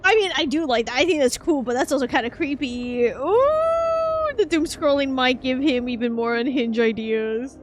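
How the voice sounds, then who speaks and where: A woman's voice: sits high, lively intonation, normal speed, hardly any pauses. A woman streamer giving playful, casual live game reactions, often repeating words and phrases. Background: game audio and music.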